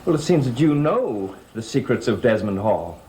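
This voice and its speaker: An actor playing an insistent, inquisitive gentleman in a vintage gothic television soap, pressing and persuasive. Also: mid-range voice, big pitch swings, normal speed, slight background noise, natural voice. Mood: probing. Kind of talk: 1960s-70s TV soap acting. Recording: old TV soundtrack with music underneath.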